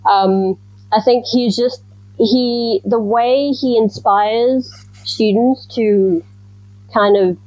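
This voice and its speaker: A woman from Zimbabwe, a researcher answering interview questions in a thoughtful, measured way with frequent ums. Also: fairly high pitch, steady tone, darkish tone, dry recording, highs cut off. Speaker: guest researcher.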